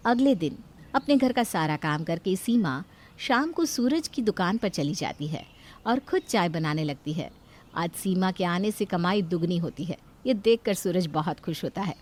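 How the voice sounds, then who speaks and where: A woman's voice: on the low side, expressive, normal speed, a little mellow. A woman narrator reading a scripted moral story.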